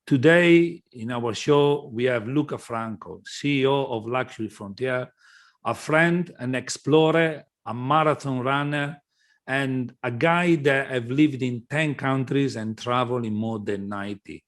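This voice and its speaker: A man with a mid-range voice hosts a podcast interview. He speaks conversationally, in halting phrases with pauses as he works toward his questions. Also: medium pitch.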